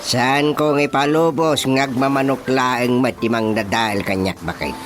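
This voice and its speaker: A man with a Filipino accent playing a boastful, excitable village chairman in a radio comedy drama, blustering and exclaiming. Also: medium pitch, normal speed, lightly acted. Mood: concerned. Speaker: actor. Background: music.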